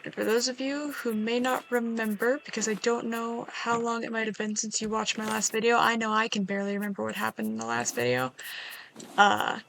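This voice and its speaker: A woman with a slightly hoarse voice giving casual, chatty commentary while she plays a survival game, making wry asides to herself. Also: a little high, brisk, a bit bright, a little hiss or hum, poor audio. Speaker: gaming video creator.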